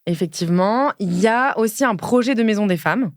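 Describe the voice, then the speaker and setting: A woman in her late twenties from Ardèche, a podcast guest who talks thoughtfully with many pauses and fillers. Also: mid-range voice, lively intonation, dry recording, clean studio sound. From Ardèche, France. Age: late 20s. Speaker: guest, feminist speaker and lawyer. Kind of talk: casual podcast conversation.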